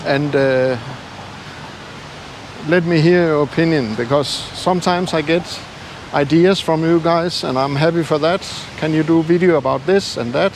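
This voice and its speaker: An older man with a non-native English accent, talking casually and haltingly to viewers in a self-deprecating way as a travel vlogger. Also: on the high side. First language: not English. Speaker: travel YouTuber. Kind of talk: casual vlog talk to camera. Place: outdoors. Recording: noisy outdoor street ambience with traffic.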